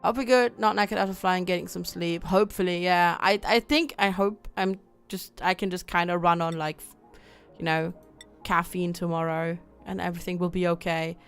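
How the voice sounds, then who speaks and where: A woman's voice: on the low side, steady tone, moderate pace, clear, resonant tone, faint music behind. A young woman from Germany chatting casually with her viewers while playing a game on a livestream, reading out chat and laughing often. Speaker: streamer.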